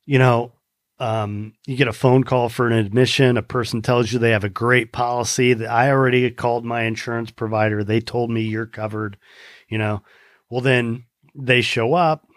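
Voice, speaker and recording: A man's voice: medium pitch, little pitch movement, moderate pace, dry recording, studio quality. An American man talking earnestly in a casual conversation, rambling a little with restarts. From United States.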